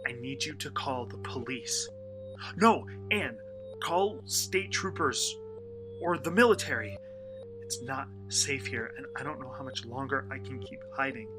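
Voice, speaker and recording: A man acts out a desperate fugitive in a horror radio play, speaking slowly with urgent, faltering dread. His voice sits in a middling register, and prominent music runs behind him. He is profane and frightened, as if hunted. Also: mid-range voice, close to an everyday voice. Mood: desperate pleading. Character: terrified, desperate man hunted by monsters, in hiding. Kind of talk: horror audio drama acting.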